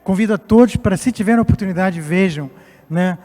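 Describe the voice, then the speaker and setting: A man's voice: sits high, brisk, dark tone. A man in his late fifties from Portugal, an executive giving a semi-formal, somewhat hesitant speech on stage in a reverberant hall with music behind. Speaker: company executive, guest speaker. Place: stage at a live event.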